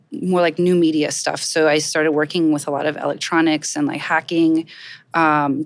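A woman's voice: low voice, dry recording. A woman from Poland, a guest artist talking casually in an interview, with many ums and likes. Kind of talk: conversational interview.

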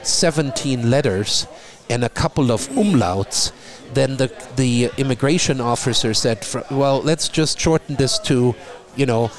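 A middle-aged man from Germany with a German accent, talking in a relaxed conversation and often repeating a word as he starts a thought. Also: mid-range voice, a little slow. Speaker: guest. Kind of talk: casual conversation.

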